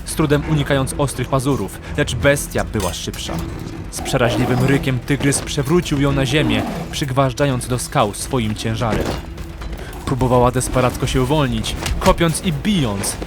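Male fantasy audiobook narrator over music. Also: mid-range voice. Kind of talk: dramatic scripted audiobook narration.

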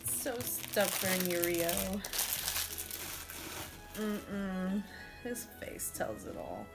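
A woman's voice: mid-range voice, very animated, extremely slow, gravelly, over music, rough recording. A young woman unboxing toys in a living room, gushing and cooing over them, her voice strained as she is losing it. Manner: gushing, coos over toys, whispers at times. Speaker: unboxing vlogger. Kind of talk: casual unboxing chat.

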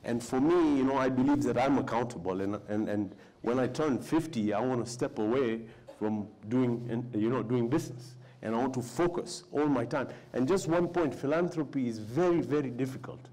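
Mellow-voiced man on a panel. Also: mid-range voice, moderate pace, few pauses, poor audio. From Tanzania. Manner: earnest, repeats words when starting, emphatic. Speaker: panelist, businessman. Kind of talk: panel discussion. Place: indoor conference hall stage. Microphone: lapel mic.

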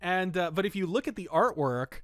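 Higher-pitched man, chatty podcast host. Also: animated, a little fast, dry recording, studio quality. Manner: enthusiastic, opinionated, colloquial. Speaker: podcast host reviewing pulp fiction and old radio. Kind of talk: casual, conversational review commentary.

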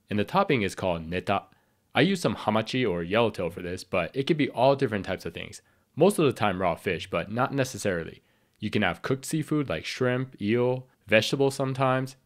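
Man, lower voice, slightly raspy, lively intonation, clear explanatory host delivery. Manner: clear, explanatory, friendly instruction. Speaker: sushi chef and channel host. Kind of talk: scripted cooking tutorial.

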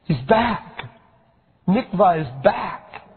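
A giddy male servant spills over with excitement, his voice pushed high and breathless as a man puts him on. The tone is husky, and the recording is thin and phone-like, as in a storybook reading. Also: very slow, big-room sound, heavily acted. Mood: overjoyed. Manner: breathless, gushing. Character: excited male servant bursting with good news.

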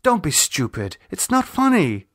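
A man's voice: high-pitched, huge pitch swings, a little slow, a bit bright, no room sound, studio quality, pitched above the performer's own voice, heavily acted. A man voicing a worried, caring woman in an audiobook, questioning earnestly with some reproach. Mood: reproachful. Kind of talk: audiobook character dialogue.

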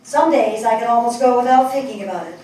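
An older woman acting a grieving, resolute New Yorker in a dramatic stage reading, speaking in emphatic, deliberate short phrases. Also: on the high side, warm tone, natural voice. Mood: weary. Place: indoor theatre stage. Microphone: distant camera. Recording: echoey stage, distant mic, faint noise.

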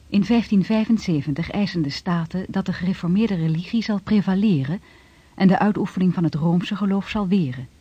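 An actor playing a sober historical chronicler, narrating from a script in a radio drama. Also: male voice, sits high, mellow, slightly rough, no echo. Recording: dull, dry old radio recording.

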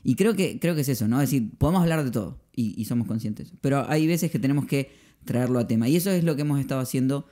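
A man's voice: mid-range voice, animated, fast talker, mellow. A man in his thirties with an Argentine accent, hosting a casual podcast conversation at a close microphone in a small room. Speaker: podcast host, pastor. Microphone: podcast microphone on arm, close.

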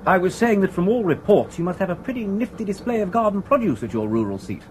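A suave, long-winded gentleman impostor in an old radio comedy, upper-class English in accent. He is pompously polite and never ruffled, in a lightly high voice with very varied intonation, on a noisy recording. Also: big pitch swings, fast talker. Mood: polite, droll. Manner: elaborately polite, pompous, droll. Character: suave, verbose, unflappable young gentleman impostor. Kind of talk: 1980s radio comedy acting.

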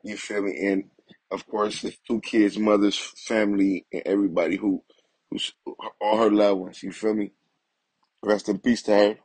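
A man's voice: fairly low pitch, little pitch movement, moderate pace, darkish tone, husky, dry recording, rough recording. A young man hosting a casual news commentary vlog, streetwise and leaning on "you feel me" after nearly every line.

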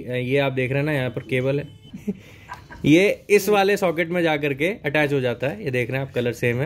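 A young man doing a casual, explanatory unboxing narration, mixing English words into his speech. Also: on the high side. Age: young adult. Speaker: product reviewer at a riding gear store. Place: indoors in a helmet and riding gear store. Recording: noticeable background noise, store ambience.

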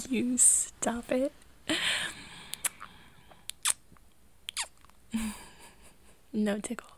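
A woman's voice: fairly high pitch, steady tone, slow pace, mellow, breathy edge. A woman playing an affectionate, teasing girlfriend cheering on her man in a playful, encouraging ASMR roleplay. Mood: playful, coy. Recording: music behind the voice.

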